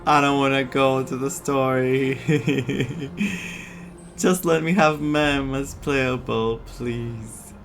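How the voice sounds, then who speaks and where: A woman giving casual playthrough commentary as a gaming streamer, in an excitable, gushing manner. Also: very deep voice, takes it slowly, soft background music.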